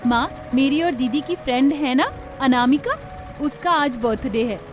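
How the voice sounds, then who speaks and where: A woman's voice: sits high, animated, measured pace, faint music behind. A woman voicing a kind, hardworking young younger sister in a Hindi cartoon story, speaking earnestly and pleadingly.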